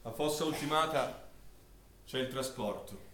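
An actor playing a solemn priest who recounts a wartime massacre in dramatic recitation. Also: male voice, medium pitch, a little slow, a bit bright, slight background noise, lightly acted. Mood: solemn, mournful. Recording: roomy hall, background crowd sound.

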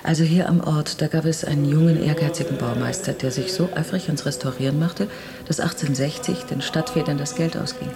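Dry, creaky-voiced woman tourist. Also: low-pitched, steady tone, brisk. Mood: dryly explaining. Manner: matter-of-fact, dry, explanatory. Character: sceptical, knowledgeable woman tourist, irritable and dry. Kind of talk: naturalistic radio drama acting.

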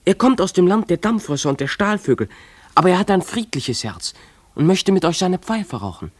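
An actor playing a dreamy, defiant runaway schoolboy in a German radio play, speaking in an imploring, declamatory way. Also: male voice, sits high, big pitch swings, a little fast, no room sound. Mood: fervent, hopeful.

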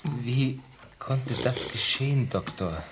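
Shocked gentleman guest, noisy recording. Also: mid-range voice, expressive, slow pace. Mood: shocked, concerned. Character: shocked, reserved gentleman visiting an old friend. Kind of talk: 1970s radio drama acting. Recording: noisy old radio recording.

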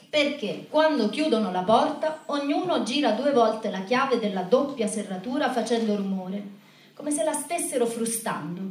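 Echoing, higher-pitched woman narrating from afar. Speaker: narrator of a spoken-word show about the human voice. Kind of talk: scripted literary monologue. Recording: roomy echo, distant mic.

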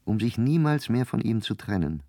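Low-voiced male radio play narrator. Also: sing-song, moderate pace, mellow, dry recording, clean studio sound.

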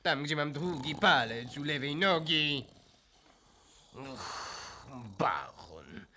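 A jaded dandy count in a theatrical radio drama. He drawls very slowly in a fairly high male voice, affected and vain, like an idle aristocrat bored by his own conquests. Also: sing-song, pushed and bright, heavily acted. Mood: peevish, drowsy. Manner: languid, affected, sprinkles French into speech. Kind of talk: theatrical Polish radio play acting.

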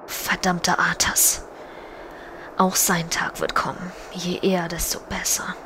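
A woman's voice: on the low side, level tone, unhurried, in a whisper, natural voice. A woman playing a regal, stern undead elf queen in a fantasy parody audio drama, speaking with formal, archaic courtesy. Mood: brooding, vengeful. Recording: background noise.

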